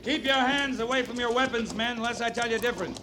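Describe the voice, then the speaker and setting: An actor playing a calm, authoritative frontier cavalry captain in an old-time radio western, clipped and dryly understated. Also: male voice, very high voice, fast. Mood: firm, commanding. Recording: noisy vintage radio drama recording.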